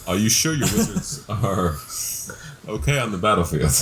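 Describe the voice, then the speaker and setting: A man's voice: fairly low pitch, very animated, moderate pace, a little hiss or hum, low-quality recording. A man playing a tabletop game, bantering casually and calling out turns and dice results. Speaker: player in a tabletop game podcast. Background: background music.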